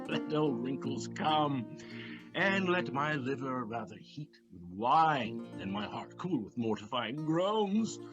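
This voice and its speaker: An actor playing a merry, talkative, mocking Venetian gentleman in a theatrical Shakespearean audio drama style. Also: a little high. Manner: animated, jesting, theatrical. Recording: dull recording under lute music. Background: lute music.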